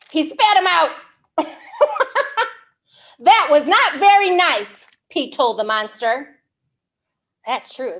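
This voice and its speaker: A woman hosting a storytime for young children, reading aloud in an animated way with simple, exaggerated, encouraging questions. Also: high-pitched. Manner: slow, simple wording for English learners. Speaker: children's storytime librarian host.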